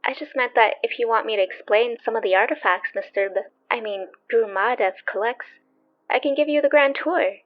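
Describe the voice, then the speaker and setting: A woman as a high-voiced young shop assistant. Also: fairly quick, close to an everyday voice. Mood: helpful, friendly. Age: young adult. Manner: courteous, helpful, wry. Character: polite, chatty young female shop assistant, gently wry. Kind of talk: audio drama acting. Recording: muffled sound with music behind.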